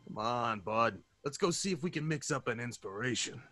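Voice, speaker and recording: An actor playing an easygoing male drinking buddy in an old time radio drama, casual and husky. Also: mid-range voice, animated, moderate pace, bright, forceful tone. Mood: breezy, casual.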